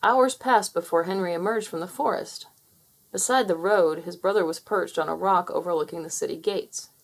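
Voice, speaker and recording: A woman's voice: on the low side, moderate pace. Woman reading a storybook aloud. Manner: inviting, asks listeners to guess. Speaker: storybook reader. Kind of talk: children's read-aloud narration.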